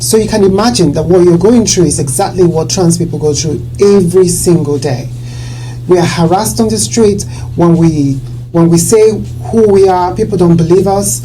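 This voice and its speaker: A woman hosting a talk show discussion, earnest and quick to agree with her guest. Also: lowish voice, dark tone, rough recording. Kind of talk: casual discussion.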